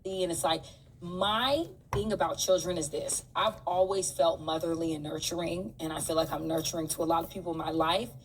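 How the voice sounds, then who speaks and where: A woman's voice: fairly low pitch, fairly even intonation, brisk. An older woman chatting casually on a podcast, talkative and laid-back. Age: older adult. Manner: talkative, laid-back, says she is loud. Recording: distant mic with faint room noise.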